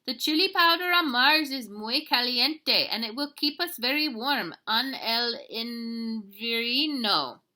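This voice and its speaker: Woman doing tiny loud chihuahua dudes, high and sharp, mexican spanish accent, storybook silly. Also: lively intonation, takes it slowly, hardly any pauses, full character voice. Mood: dramatic. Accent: put-on Mexican Spanish. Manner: exclaiming, boisterous. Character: band of tiny boisterous male Chihuahuas.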